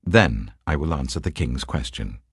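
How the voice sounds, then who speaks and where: A British man voicing a brave, earnest queen in an audiobook, speaking formally and deliberately. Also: very deep voice, lively intonation, breathy edge, dry recording, studio quality, voice pushed down, exaggerated voice. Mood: courteous pleading. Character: brave, resolute young queen, earnest and courteous.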